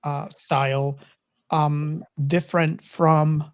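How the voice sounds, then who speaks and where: A middle-aged woman with a low-pitched voice hosts a casual film chat. She speaks in a reflective, halting way, circling back over phrases, and the recording is close and dry. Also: level tone, dry recording. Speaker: podcast host.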